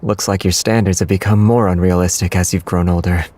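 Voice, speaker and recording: An actor playing a gentle, quietly confident young man with understated, dry teasing in a romance game. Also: sits low, fairly even intonation, brisk. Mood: gentle, wistful.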